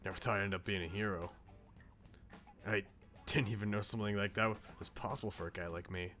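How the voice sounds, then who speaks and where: A man's voice: lowish voice, fairly even intonation, brisk, a bit bright, faint music behind, close to an everyday voice. A man voicing a brash, insecure but loyal eighteen-year-old slacker in a visual novel, with blunt, casual slang. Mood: wistful, frank. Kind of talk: visual novel character read aloud.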